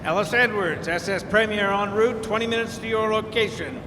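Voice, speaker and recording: A man playing a focused ship's radio operator in a radio drama, relaying messages in halting phrases. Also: high voice, long reverb tail, noisy background, close to an everyday voice. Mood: urgent, concentrated. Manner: halting, spelled-out message delivery. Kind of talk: stage radio drama acting.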